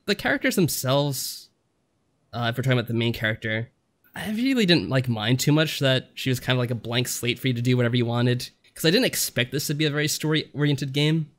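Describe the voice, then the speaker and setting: A man talking casually as a podcast co-host, rambling through anecdotes and acting out little asides. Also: mid-range voice. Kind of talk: casual chat reviewing games.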